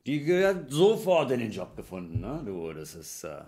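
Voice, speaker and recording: A man's voice: mid-range voice, wildly swooping pitch, normal speed, a little mellow. A man playing a hearty, teasing forest worker chatting colloquially with a buddy in an improvised radio play. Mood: chummy, curious. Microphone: stand microphone.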